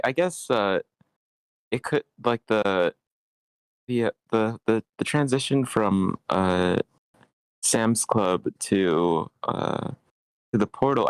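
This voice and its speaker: A man's voice: fairly low pitch, gravelly, no room sound. A man giving casual, slangy feedback in an online meeting, rambling and stammering as he goes. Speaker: participant giving script feedback.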